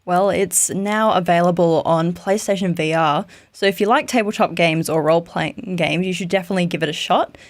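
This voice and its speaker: A woman's voice: fairly low pitch, a little fast, hardly any pauses, no echo. A woman interviewer asking questions in a conversational podcast style.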